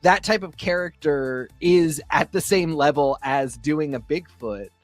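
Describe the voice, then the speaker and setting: A man's voice: fairly high pitch, a little slow, dry recording, soft background music. Chatty man, dry close sound. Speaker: podcast co-host. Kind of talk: casual review chat.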